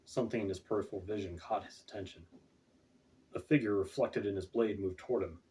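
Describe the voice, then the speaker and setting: A man's voice: lowish voice, fairly quick, a little mellow, slight background noise. A man reading his own fantasy fiction aloud as scripted audiobook narration. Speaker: author reading own book. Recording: distant, off-mic home recording.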